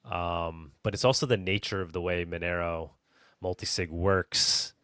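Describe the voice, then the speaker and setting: A man hosting a podcast interview in a casual style, halting and rephrasing his thoughts as he goes. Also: deep voice, steady tone, a bit bright, smooth, dry recording, studio quality. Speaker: podcast host.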